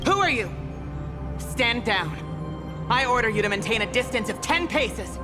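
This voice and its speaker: An actress playing a commanding, vigilant soldier in a video game, firm and authoritative in delivery. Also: female voice, on the high side, animated, normal speed, music in the background, lightly acted. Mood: challenging, stern. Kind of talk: scripted video game voice acting.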